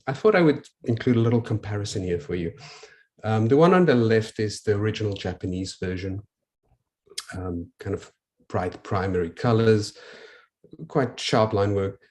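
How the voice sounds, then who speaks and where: A man with a South African accent and Afrikaans as his mother tongue presents his work informally. His voice sits a little low, and he explains in a measured way, pausing often. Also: darkish tone. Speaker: photographer presenting his photobook. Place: online video call.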